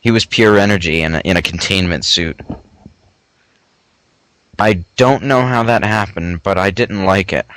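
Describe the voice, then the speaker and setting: A man's voice: sits low, normal speed, long pauses, a bit bright, clean tone, low-quality recording. A man co-hosting a casual group commentary in a crude, sarcastic way.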